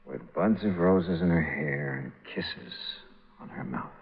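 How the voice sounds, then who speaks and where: An actor playing a suave, wry American insurance investigator who acts and narrates in a vintage radio drama. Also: male voice, rumbling low, measured pace, vocal fry, slightly rough, full character voice. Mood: soft, romantic. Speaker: lead character and narrator. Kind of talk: 1950s radio drama acting and first-person narration. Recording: tinny vintage radio, music behind.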